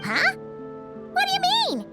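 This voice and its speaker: A woman voicing a tiny, excitable, childlike fairy companion in a video game, chirpy and inquisitive. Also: very high voice, takes it slowly, full character voice. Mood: puzzled, impatient. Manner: chirpy, inquisitive, refers to herself by name. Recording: loud music under the voice.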